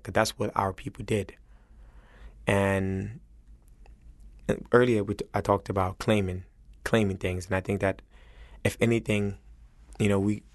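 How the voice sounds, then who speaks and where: A man from Senegal with a West African accent speaks earnestly and reflectively in an interview, halting as he searches for his words. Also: fairly low pitch, no room sound, studio quality. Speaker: chef interviewed as guest.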